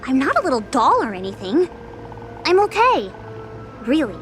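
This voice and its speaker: A woman playing a playful, affectionate, girlish young companion of gods, lively and coaxing, in an audio drama. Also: high-pitched, normal speed, faint music behind, exaggerated voice. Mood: playful reassurance. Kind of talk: audio drama character acting.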